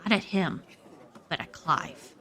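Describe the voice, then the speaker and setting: A woman narrator reading a scripted dramatic story for a radio play. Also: slow pace. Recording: loud music under the voice. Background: loud music.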